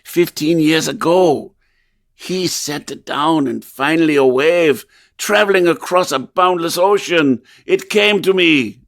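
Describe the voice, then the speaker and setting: An actor playing an obsessive, grandiose composer in theatrical old-time radio style, with intense, halting delivery. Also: male voice, a little high, creaky. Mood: anguished, disbelieving. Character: tormented, obsessive male composer, feverish and grandiose. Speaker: lead actor in radio play.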